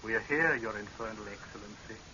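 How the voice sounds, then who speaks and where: An actor playing a young man who is coldly vengeful, deliberate and mocking, in old-time radio horror style. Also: fairly low pitch, pushed and bright. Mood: grim triumph. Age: young adult. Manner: deliberate, sardonic, menacingly composed. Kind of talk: 1930s-40s radio horror acting. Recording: muffled, hissy old-time radio recording.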